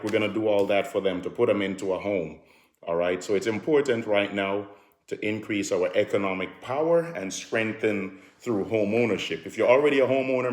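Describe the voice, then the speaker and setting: A middle-aged man with a Jamaican accent, pitching home improvement deals in a relaxed, chatty way, peppered with "all right". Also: echoey room. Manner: relaxed, repetitive "all right" fillers, sips a drink while talking. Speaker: home improvement company promoter. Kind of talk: casual promotional talk to camera.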